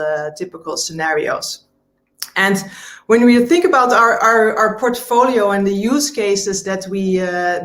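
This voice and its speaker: Halting Dutch-accented female presenter. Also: mid-range voice. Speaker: sales trainer. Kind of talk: informal business presentation.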